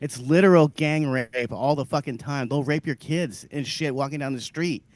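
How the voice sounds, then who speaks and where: A man's voice: medium pitch, moderate pace, a little mellow, no echo. A man co-hosting a podcast, talking in crude, rambling casual chat and laughing often. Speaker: podcast co-host.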